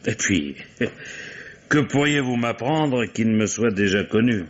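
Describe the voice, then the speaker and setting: An actor playing a politely probing, dogged police commissioner in a vintage French radio mystery. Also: male voice, medium pitch, lively intonation, slow pace, muffled recording. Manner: polite, hesitant, insinuating questioning.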